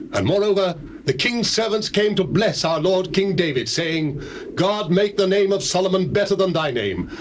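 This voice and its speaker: An earnest, valiant herald in a biblical drama, declaiming formal news to nobles. His voice is high for a man, with faint tape noise. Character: earnest valiant messenger bearing royal news. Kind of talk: dramatized scripture reading in biblical English.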